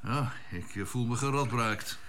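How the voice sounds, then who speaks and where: An actor playing an earnest, blunt spaceship engineer in a science fiction radio drama, excitable under pressure, with halting, emphatic phrasing. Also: male voice, mid-range voice, crowd noise, lightly acted. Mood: shaken, baffled.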